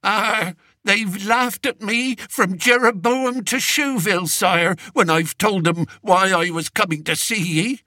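A man's voice: high voice, slow pace, hardly any pauses, sharp tone, no room sound, clean studio sound, pitched above the performer's own voice, heavily acted. A man voicing a frightened, tearful old male shepherd who speaks in a rustic dialect and wheezes. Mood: pleading, tearful.

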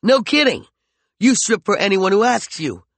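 A man's voice: fairly high pitch, big pitch swings, measured pace, vocal fry, dry recording, pitched above the performer's own voice, exaggerated voice. A man playing a jealous, volatile hothead. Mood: mocking. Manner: heated, mocking and intense. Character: jealous, volatile young man, raging then pleading.

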